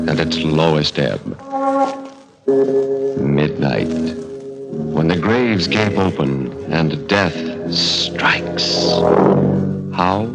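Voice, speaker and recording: An actor playing an ominous, portentous narrator introducing a 1940s radio horror show. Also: male voice, rumbling low, heavily acted. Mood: sinister, hushed dread. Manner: portentous, dramatic. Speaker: announcer. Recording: vintage radio, loud musical backing.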